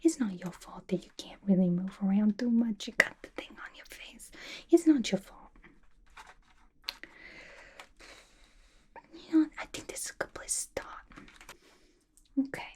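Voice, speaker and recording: A woman playing a young, playful, violent-minded female villain in an ASMR roleplay, gossiping to the listener in a chatty, conspiratorial breathy whisper. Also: mid-range voice, expressive, normal speed, natural voice. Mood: coaxing, friendly. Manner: chatty, conspiratorial, giggly, colloquial. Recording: clear background noise, soft clicks.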